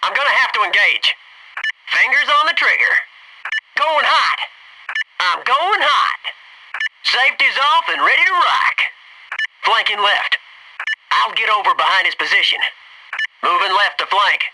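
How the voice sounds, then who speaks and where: An actor playing a folksy, dutiful Navy SEAL commando, giving short, clipped military callouts in a video game. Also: male voice, sits high, very animated, a little slow, pauses often, recorded from a distance, noisy background, heavily acted. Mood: tense, urgent.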